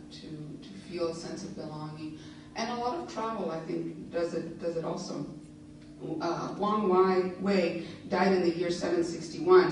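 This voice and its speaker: A middle-aged woman giving a reflective public talk, filling pauses with um and uh between readings. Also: lowish voice. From Cuban family in Miami. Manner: reflective, many um and uh fillers. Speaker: author giving a public lecture. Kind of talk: lecture with poetry readings. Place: lecture hall. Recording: echoey hall, voice from far off, background noise.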